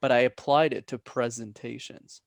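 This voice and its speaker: Young man, gravelly voice, dry close sound, crisp careful pronunciation, coaching. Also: medium pitch, steady tone, no echo. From Canada. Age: young adult. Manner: clear deliberate enunciation, coaching tone. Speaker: public speaking coach, guest. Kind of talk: podcast interview.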